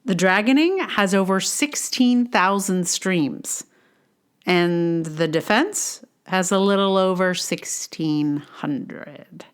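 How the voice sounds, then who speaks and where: Slow-paced woman reading a personal essay. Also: lowish voice, smooth. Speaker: podcast host and writer. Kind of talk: reading a personal essay aloud, with casual asides.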